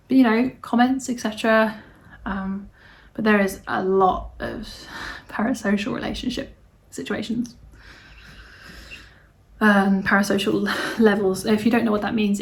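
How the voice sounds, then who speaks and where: A woman, hosting her knitting podcast in a casual, rambling chat full of fillers and restarts, her voice slightly hoarse. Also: mid-range voice.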